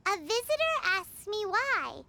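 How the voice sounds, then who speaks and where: Squeaky little fairy companion. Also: female voice, big pitch swings, a little slow, gravelly, very noisy, exaggerated voice. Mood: curious. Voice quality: squeaky, childlike. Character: tiny childlike floating fairy companion, eager and proud. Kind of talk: anime video game voice acting.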